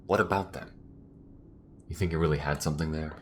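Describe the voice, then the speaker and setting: A man's voice: steady tone, brisk, fairly bright tone, a little hiss or hum. A man playing a weary, principled space rebel in a sci-fi audio drama, speaking in an earnest, emphatic way. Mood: defiant, firm. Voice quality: low-pitched.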